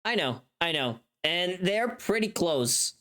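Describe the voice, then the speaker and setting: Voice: mid-range voice, sing-song, slow pace, bright, forceful tone. A livestreamer chatting casually while working, easily distracted and prone to exclamations.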